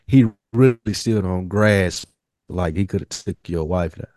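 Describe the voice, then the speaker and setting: Male podcast co-host, fairly low pitch, dry close sound, slangy banter, some swearing, casual. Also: measured pace, a little mellow, no echo. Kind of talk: casual TV recap chat.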